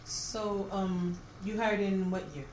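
A woman's voice: mid-range voice, flat delivery, slow pace, a little mellow. A woman conducting a recorded oral history interview as the interviewer, asking questions. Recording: echoey room, clear background noise.

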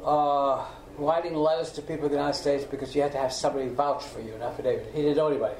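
An older man from Austria, a native German speaker, recalling his life story in a halting way with repeated words, recorded in his home kitchen. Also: a little high, fairly even intonation, a little mellow, echoey room, a little hiss or hum, low-quality recording. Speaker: interviewee. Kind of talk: oral history recollection.

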